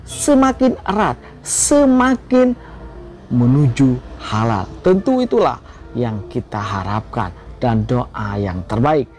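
A man's voice: mid-range voice, darkish tone. A man reading a scripted celebrity gossip voice-over with a sing-song delivery that swoops up on single words. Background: faint background music.

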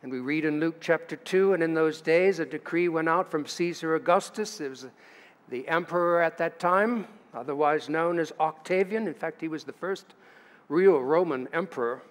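Older male pastor, higher-pitched voice, preaching from a lectern, church livestream with a long ringing reverb. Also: moderate pace. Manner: storytelling, reading scripture aloud with asides. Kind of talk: sermon. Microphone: lectern mic.

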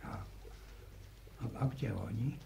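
A gravelly old village grandfather. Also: lowish voice, slow pace, warm tone, a little hiss or hum, heavily acted. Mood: mild. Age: older. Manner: hesitant, stammering, saying-quoting. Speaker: grandfather on a farm. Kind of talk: 1950s radio drama acting.

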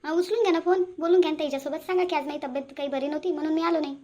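Woman playing caring bhabhi in a cartoon, varhadi accent, high quick voice, always advising. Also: steady tone, a little mellow, natural voice. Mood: urging. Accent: Varhadi Marathi, Vidarbha. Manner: coaxing, advising. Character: caring, dutiful sister-in-law in a household. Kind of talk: amateur cartoon voice-over.

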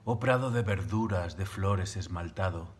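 A man's voice: deep voice, measured pace, a little hiss or hum. A man reciting classical mystical verse in a solemn style. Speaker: poetry reciter.

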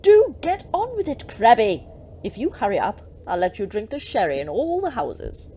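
A woman's voice: a little high, very animated, moderate pace, dark tone, slight background noise. A woman playing a gleeful, bossy, scheming devil in a comic audio drama, theatrical and gloating.

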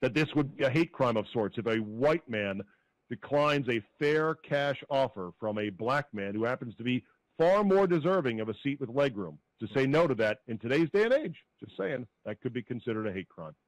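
A man's voice: mid-range voice, animated, moderate pace, dry recording, low-quality recording. Man with a dry, close sound.

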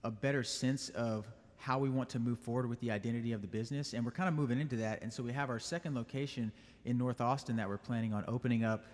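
A young man answers questions calmly and thoughtfully at a stand mic in a church sanctuary. His voice sits at a middle pitch, and the church carries a long reverb behind it. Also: quick pace. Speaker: guest, business owner and band leader. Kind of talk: interview answers.

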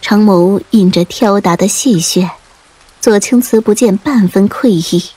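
A woman's voice: medium pitch, a little slow, warm tone, breathy edge, poor audio. A woman narrating an audiobook novel in scripted, atmospheric storytelling. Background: rain and faint music.

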